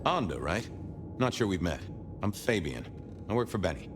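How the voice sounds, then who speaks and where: A man's voice: low-pitched, sing-song, normal speed, background noise. A man voicing a glib, theatrical vampire detective in a video game, wry and politely probing. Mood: curious. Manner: wry, hesitant, swooping politeness. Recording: echoing reverberant hall, background music.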